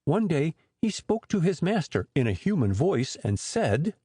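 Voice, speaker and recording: A man with a husky voice narrating a scripted story as an expressive audiobook storyteller. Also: on the high side, a little slow, dry recording, studio quality.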